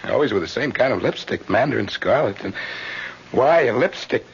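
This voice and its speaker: An actor playing a tormented, self-pitying killer in an old-time radio mystery, repeating phrases insistently. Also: male voice, medium pitch, lively intonation, normal speed, breathy edge. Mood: menacing regret. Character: tormented serial killer lodger, self-pitying, bitter and unhinged. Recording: dull lo-fi radio recording with hiss.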